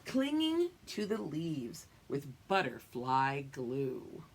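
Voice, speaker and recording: Expressive woman teacher reading aloud, lively pitch. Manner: expressive, drawn-out reading with teacherly explanations and check-ins. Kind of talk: read-aloud picture book lesson with explanatory asides.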